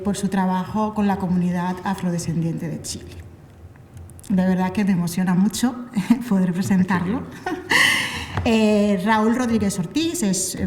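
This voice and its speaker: A woman's voice: mid-range voice, mellow. A woman moderating a panel with playful, informal live introductions. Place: indoor panel event hall. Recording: echoey hall, distant mic, background noise.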